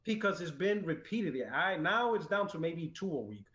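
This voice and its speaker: A man co-hosting a casual podcast, telling an animated story and mimicking callers. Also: on the high side, normal speed, echoey room. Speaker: podcast co-host.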